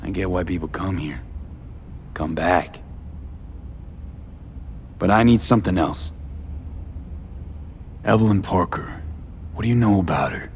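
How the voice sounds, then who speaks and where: A man playing a weary, blunt mercenary in a video game, with a gravelly voice and terse, low-key delivery. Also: measured pace, long pauses, a little mellow, slight background noise. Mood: guarded. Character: weary, blunt male mercenary in a futuristic city.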